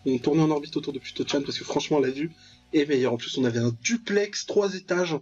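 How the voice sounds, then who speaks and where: A man's voice: fairly high pitch, fast, darkish tone. A young man giving casual, jokey, mock-dramatic commentary while playing a visual novel, muttering asides and reading text aloud. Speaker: gaming video host. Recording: dull lo-fi voice track over clear music.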